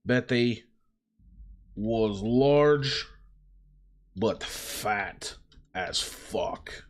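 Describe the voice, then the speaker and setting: A man's voice: fairly low pitch, drawn-out words. A man livestreaming in casual, sweary bro slang, chatting and composing a story aloud. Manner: informal, profane, bro slang. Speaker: live-streaming writer and gamer. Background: background music.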